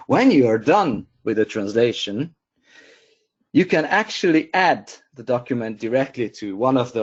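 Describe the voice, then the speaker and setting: A man whose first language is Hungarian presents a software webinar, pausing often with fillers and restarting sentences. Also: medium pitch, dull audio. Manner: hesitant, many fillers and restarts.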